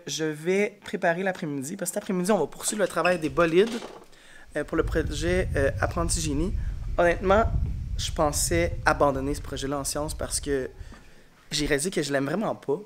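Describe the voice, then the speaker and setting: A male schoolteacher with a Quebec French accent, congested, chatting casually to camera in a rambling, tired and frank way. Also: fairly high pitch. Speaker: elementary school teacher. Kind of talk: casual vlog talk to camera. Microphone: camera mic.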